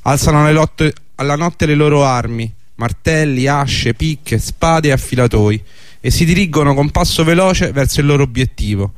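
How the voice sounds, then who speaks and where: A man's voice: on the high side, dry recording. A man reading a scripted historical narration for a radio drama.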